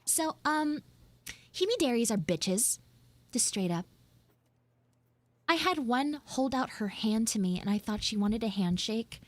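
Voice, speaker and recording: Woman playing a sassy, high-pitched tsundere schoolgirl, swinging intonation. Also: lively intonation, measured pace, pauses often, no echo. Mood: indignant, snarky. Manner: blunt, snarky, swearing, rambling asides. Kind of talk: improvised live audio roleplay, casual chatty monologue.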